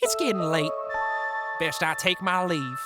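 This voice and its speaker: A woman's voice: lowish voice, lively intonation, unhurried. A woman voicing a cocky, crazy old male poker champion of the Old West, with a folksy, theatrical drawl. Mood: gracious, resigned. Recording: loud music under the voice.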